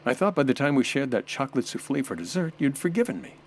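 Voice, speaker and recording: A man playing a suave, flirtatious homicide inspector in a comedic 1940s-style radio drama, smooth-talking and gently teasing. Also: mid-range voice, very animated, fast.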